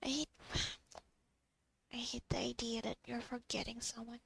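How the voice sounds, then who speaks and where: A woman's voice: on the high side, little pitch movement, unhurried, gravelly, breathy edge, no room sound. A woman muttering casual, half-whispered commentary while playing a game, cursing at herself. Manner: muttering, half-whispered, swearing, self-annoyed. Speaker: gamer streaming a playthrough. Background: loud game music.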